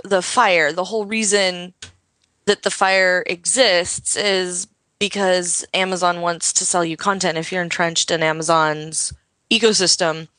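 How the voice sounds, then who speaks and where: A woman's voice: lowish voice, a bit bright, dry recording. An American woman co-hosting a casual tech podcast, explaining her views at length. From United States. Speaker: podcast co-host.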